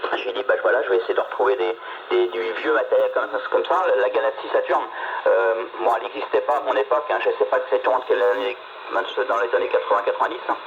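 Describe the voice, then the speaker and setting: A man's voice: on the low side, quick pace, gravelly. A middle-aged man talking casually over two-way radio, hesitant and often repeating his words. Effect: two-way radio transmission. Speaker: amateur radio operator. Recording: noisy, narrow-band two-way radio signal.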